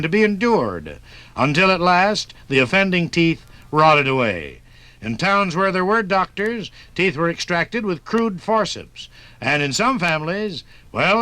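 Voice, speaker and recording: A man's voice: high-pitched, pushed and bright, dry recording. An American man narrating a 1950s radio documentary as a folksy, dramatic storyteller. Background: music.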